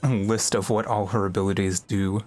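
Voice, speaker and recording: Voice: low voice, fairly even intonation, normal speed, darkish tone, slight background noise. A game streamer chatting casually and musing aloud in a rambling, self-deprecating way during a livestream. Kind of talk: casual livestream gameplay chat. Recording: music playing behind the voice.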